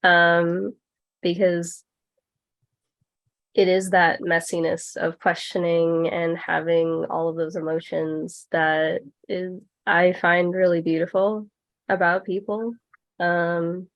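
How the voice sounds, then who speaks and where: A woman with a lowish, gravelly voice speaks very slowly on an online workshop call. She is reflective and halting, pausing often as she talks informally. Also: level tone. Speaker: workshop panelist. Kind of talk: informal reflective panel talk.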